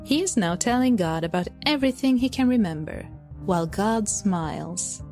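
A woman narrating a satirical audio drama in a wry, measured way. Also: mid-range voice, expressive, a little mellow, faint music behind, lightly acted. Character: wry female storyteller of heavenly satire.

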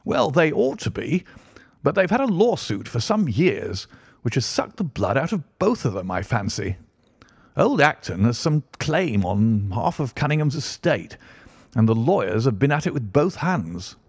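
A man voicing a hearty, unflappable country colonel with bluff, old-fashioned gentlemanly talk in an audiobook story. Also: mid-range voice, sing-song, unhurried, husky, no room sound, studio quality, voice pushed up, exaggerated voice. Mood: coolly concerned. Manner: bluff, old-fashioned upper-class phrasing.

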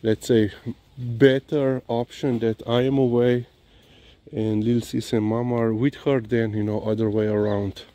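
Male vlogger, Slovenian accent, mid-pitched, very leisurely and rambling delivery, recorded outside on a walk. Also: flat delivery, mellow, dry recording. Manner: hesitant, halting delivery with frequent "you know". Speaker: dog owner and vlogger. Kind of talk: casual walk-and-talk vlog, rambling. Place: outdoors, on a walk. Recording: clearly noisy outdoor ambience, vehicle sounds.

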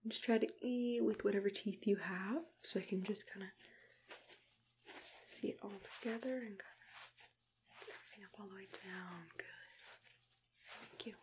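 A young adult woman in an ASMR roleplay as a dentist, speaking in a soft, breathy, mostly whispered voice with a gentle, reassuring manner. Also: mid-range voice, normal speed, pauses often, a little hiss or hum. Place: small plain room indoors.

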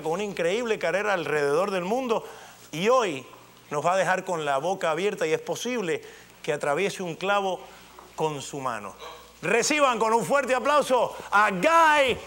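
High-pitched, bright-voiced man hosting TV. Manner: joking, exclamatory. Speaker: TV talk show host. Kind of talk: animated talk show presenting and banter. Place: television studio. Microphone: lapel mic. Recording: studio audience noise, background hiss.

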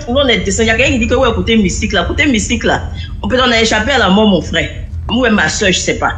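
A woman's voice: on the high side, expressive, fast, a bit bright, a little hiss or hum. A young woman telling a personal story in a rambling, repetitive way. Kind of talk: casual spoken testimony.

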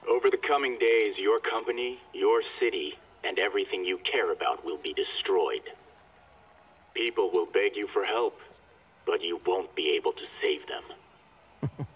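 A menacing video game villain, cold and deliberate. The voice sits very low and has a husky rasp to it. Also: male voice, fairly bright tone, rough recording. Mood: cold menace. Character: cold, menacing male villain making threats.

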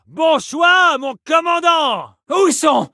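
A man's voice: very high voice, lively intonation, slow, sharp tone, dry recording, raised voice, studio quality, heavily acted. A male actor playing a fierce French army soldier in a video game, barking curt, urgent, aggressive battle lines. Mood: urgent, commanding. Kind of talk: shouted video game combat barks.

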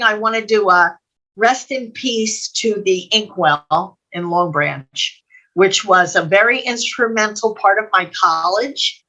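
A woman's voice: medium pitch, bright, forceful tone, poor audio. An older American woman chatting casually and in a rambling, conversational way as a co-host on a video call. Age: older, 60s or above. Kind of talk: casual chat. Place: indoor room at home, video call. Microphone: computer mic on video call.

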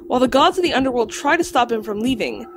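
A woman voicing a learned talking dog in an audio drama, lecturing precisely and calmly on lore. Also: a little high, quick pace, noisy background, lightly acted. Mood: lecturing, composed. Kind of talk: audio drama acting, explanatory storytelling.

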